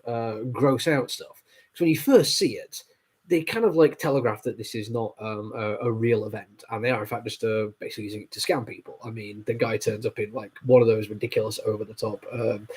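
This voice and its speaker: A man's voice: medium pitch, slightly rough, low-quality recording. A man with a cold and a stuffy, nasal voice, reviewing comics casually with lots of fillers and apologies. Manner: rambling, with many fillers and apologies. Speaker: comic book reviewer and host.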